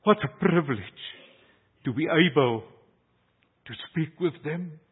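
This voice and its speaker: A man's voice: a little high, a little slow, slightly rough, phone-quality sound. An older South African man whose first language is Afrikaans, a pastor preaching an earnest, emphatic sermon that rises on key phrases. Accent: South African English.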